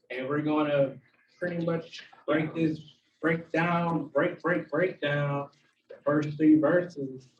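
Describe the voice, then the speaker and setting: A man teaching a casual Bible study to a small group, with a low voice and plenty of fillers. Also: dull audio, a little hiss or hum. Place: room with a small group, also streamed online. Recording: echoey room, far from the mic.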